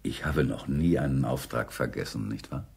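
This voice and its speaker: An actor playing a deferential, chatty old bookseller in a radio drama, obliging and servile. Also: male voice, a bass voice, normal speed, creaky, heavy background noise.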